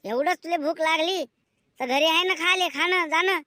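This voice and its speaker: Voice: very high-pitched, normal speed, sharp tone. A performer voicing a scolding, sarcastic village father in a comedic cartoon, with a rural Maharashtrian Marathi accent. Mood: grumbling. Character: grumbling, mocking, boastful village father. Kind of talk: comedic cartoon skit dialogue.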